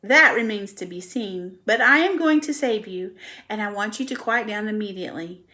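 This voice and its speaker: A woman voicing a wise female spider who speaks calmly and firmly. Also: mid-range voice, close to an everyday voice. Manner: calm, firm and brisk.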